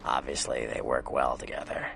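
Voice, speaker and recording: An actor voicing a cynical, sly Nosferatu vampire informant in a video game, with a gravelly American voice and a dry, sardonic manner. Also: male voice, a bass voice, flat delivery, normal speed, a bit bright, soft background music, exaggerated voice. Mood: guarded. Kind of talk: video game character dialogue.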